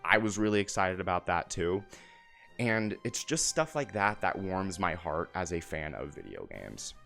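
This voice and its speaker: A man's voice: sits low, big pitch swings, normal speed, creaky, fairly bright tone. A young man giving casual, opinionated commentary to viewers in an enthusiastic, emphatic way, often repeating his phrases. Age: young adult. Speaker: video essay host. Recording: music bed behind the voice.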